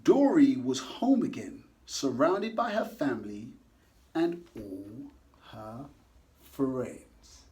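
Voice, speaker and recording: A man reading a picture book aloud to children, animated and coaxing, stopping to ask the kids questions. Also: on the high side. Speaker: children's story reader.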